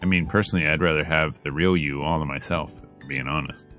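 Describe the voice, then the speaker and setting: A man's voice: rumbling low, steady tone, fast talker, a bit bright, faint music behind. A man reading a visual novel aloud in a casual, slangy let's play style, moving between narration and dialogue.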